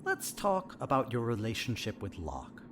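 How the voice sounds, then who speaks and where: A probing therapist in an audio drama, acted by a man, with a wildly animated, swooping delivery. He is smug and insinuating, needling with leading questions as if he knows everything. Faint noise sits behind the voice. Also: medium pitch, moderate pace. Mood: clinical, probing. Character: probing, needling male therapist, smug and eerily all-knowing. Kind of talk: audio fiction dialogue.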